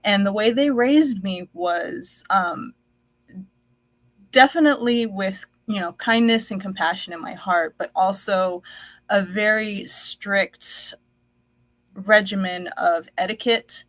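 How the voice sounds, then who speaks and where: Woman, first language Dutch, slow, reflective, many fillers, dry close sound. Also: mid-range voice, fairly bright tone, no room sound. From United States. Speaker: guest. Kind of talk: telling her life story.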